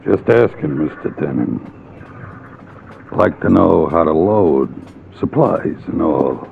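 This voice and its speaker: Casting: gruff old-salt skipper, deep gravelly drawn-out delivery, vintage radio drama. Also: male voice, expressive, exaggerated voice. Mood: wary, shrewd. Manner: slow, laconic. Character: wary, gruff, shrewd old-salt boat captain. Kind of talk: 1950s radio drama acting. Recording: tinny, noisy old radio recording.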